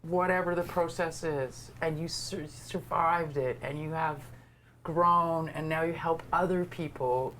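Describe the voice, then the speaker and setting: Woman with a deep voice, supportive and emphatic, unscripted conversation, light room noise behind her. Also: fairly even intonation, measured pace, fairly bright tone, rough recording. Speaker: livestream participant, breast cancer survivor.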